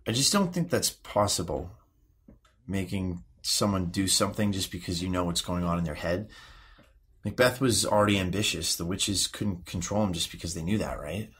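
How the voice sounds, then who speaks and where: An actor playing a guarded, easily frustrated sixteen-year-old boy in an audio drama, speaking in a halting, hesitant way. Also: low voice, a bit bright, breathy edge, heavily acted. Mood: hesitant, uneasy. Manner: halting, mumbled, hesitant teenage speech. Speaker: actor playing a therapy patient. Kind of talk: naturalistic audio drama dialogue.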